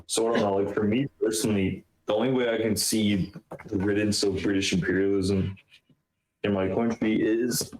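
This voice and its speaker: A man's voice: on the low side, fairly even intonation, normal speed, darkish tone. A man from Ireland with an Irish accent, rambling through a casual online debate with plenty of filler words. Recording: roomy echoey sound.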